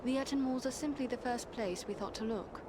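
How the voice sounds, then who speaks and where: An actress playing a determined, courteous adventurer in a fantasy game, speaking in a poised, formal way. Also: female voice, a little high, fairly quick, background noise, natural voice. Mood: courteous, earnest. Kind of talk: fantasy game cutscene acting.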